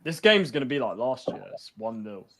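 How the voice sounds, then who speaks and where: A man's voice: mid-range voice, very animated, normal speed, crowd noise. A man on an online call giving strong football opinions and laughing easily. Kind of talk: casual chat.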